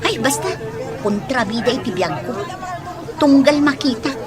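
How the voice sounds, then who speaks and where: Casting: actress playing haughty young woman, high voice, scornful and sulky, radio drama over music and bustle. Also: lively intonation, moderate pace, a crowd in the background. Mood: irritated, dismissive. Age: young adult. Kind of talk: Ilocano radio drama acting.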